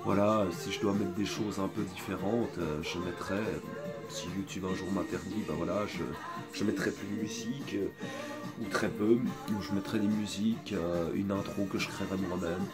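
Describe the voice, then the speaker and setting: A man's voice: low voice, steady tone. A man with a cold and a sore throat, talking in a hesitant, rambling way in a casual apologetic video, filmed on a phone. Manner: hesitant, rambling, many pauses. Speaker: video creator. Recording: background music playing.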